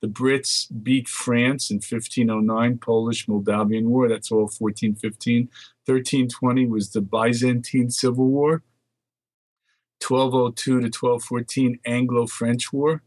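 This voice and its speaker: A man's voice: mid-range voice, level tone, a little slow, a little mellow, dry recording, low-quality recording. An older man from the Netherlands, a market research guest, chatting casually and reading long lists of dates aloud. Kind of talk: casual phone interview chat.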